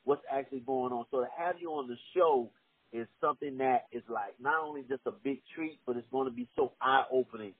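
A young man hosting a podcast, reading sponsor spots with energetic hype and chatting casually with his guest. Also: fairly quick, breathy edge, no echo, phone-quality sound. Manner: energetic, hype-driven pitch with repeated "all right". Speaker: podcast host. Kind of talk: casual podcast ad reads and interview.

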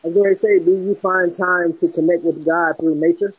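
Husky man, encouraging chat. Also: high voice, steady tone, fairly quick, no echo, rough recording. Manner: encouraging, says "man" often. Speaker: conversation partner. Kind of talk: casual chat.

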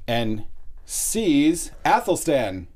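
A man's voice: on the high side, sing-song, extremely slow, a little mellow. A man co-hosting a fan after-show, playful and teasing, rallying viewers to comment. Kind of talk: casual fan recap chat.

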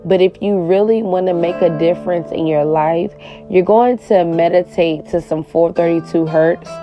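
Raspy woman coaching on spiritual stuff, lowish voice, keeps saying okay, guitar music behind her. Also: dark tone, lo-fi sound. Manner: tags lines with "okay?". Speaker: life coach. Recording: guitar music playing under the voice.

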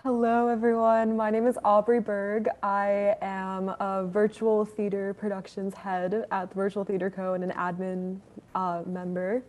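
Osiemnastoletnia kobieta z Chicago mówi płasko, niemal monotonnie, rzeczowym tonem. Przedstawia się jak studentka aktorstwa, bez większych wahań intonacji. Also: female voice, medium pitch, a little slow. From Chicago, USA. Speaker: drama student, theater team head.

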